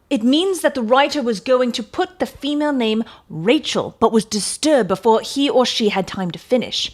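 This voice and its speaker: Woman playing a pompous little male detective, high-pitched. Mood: indignant, boastful. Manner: boastful, emphatic, showing off. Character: pompous, self-satisfied, triumphant little male police detective. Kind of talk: dramatic reading of a Victorian detective story.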